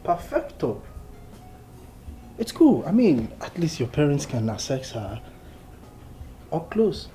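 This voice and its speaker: Chatty, warm-toned Nigerian young man. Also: a little high, very animated, slow, pauses often, faint music behind. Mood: excitable. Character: prince's chatty young male best friend, candid. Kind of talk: naturalistic Nollywood film acting.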